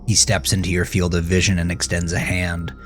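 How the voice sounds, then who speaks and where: A man narrating a horror story with a grave, deliberate, suspenseful delivery. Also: sits low, monotone, faint music behind. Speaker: author and narrator of horror podcast. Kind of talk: dramatic second-person horror story narration.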